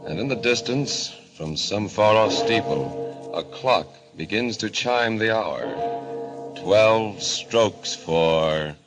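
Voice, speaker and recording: Male announcer for vintage radio horror, low voice, unhurried dramatic delivery over music. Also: poor audio. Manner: ominous, dramatic, drawn-out phrasing. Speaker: narrator, announcer. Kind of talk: 1940s radio horror narration.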